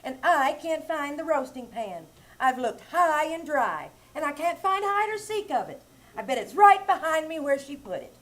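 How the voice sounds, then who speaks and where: A woman's voice: high voice, big pitch swings, normal speed, fairly bright tone, low-quality recording. A woman playing a flustered, scatterbrained young wife in a live 1940s-style radio comedy, chirping along and mangling old sayings. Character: scatterbrained, sweet young wife, full of malapropisms. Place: live theater stage.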